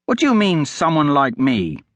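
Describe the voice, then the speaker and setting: A big, wary male traveller in a fantasy tale, played by a male narrator. He is blunt and indignant, with an exasperated edge and animated intonation. The recording is close and dry. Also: a little high, moderate pace, no echo, voice pushed up. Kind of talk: audiobook character acting.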